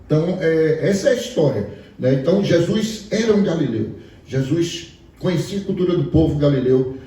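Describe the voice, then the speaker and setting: A man's voice: on the high side, lively intonation, moderate pace, mellow, recorded from a distance. A man from Pernambuco with a Pernambuco accent, preaching a sermon in a storytelling way and asking the congregation questions. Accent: Pernambuco Portuguese. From Pernambuco, Brazil. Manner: storytelling, asks the audience questions. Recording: echoey hall, voice from afar.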